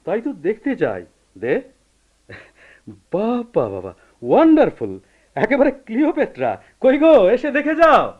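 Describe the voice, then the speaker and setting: An actor playing a playful, teasing young husband and friend with theatrical delivery in a radio play. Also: male voice, sits high, wildly swooping pitch, unhurried, pauses often, full character voice. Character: jovial, teasing young friend, married and expansive. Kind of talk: Bengali radio play acting. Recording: muffled old radio recording.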